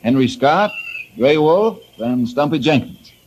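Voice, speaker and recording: An actor playing a friendly, steady ranger leader in an old time radio drama, explaining things calmly. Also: male voice, a little high, unhurried, lo-fi sound, slight background noise. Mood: friendly, explanatory.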